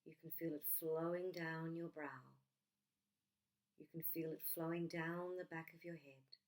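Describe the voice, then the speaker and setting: Lady doing guided meditation, kinda low voice, super slow n soothing, lots of pauses. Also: flat delivery. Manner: soothing, gentle, unhurried with long pauses.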